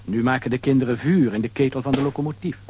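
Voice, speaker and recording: A man narrating a scripted children's adventure story with a husky voice. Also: medium pitch, fast talker, a little mellow, soft background music.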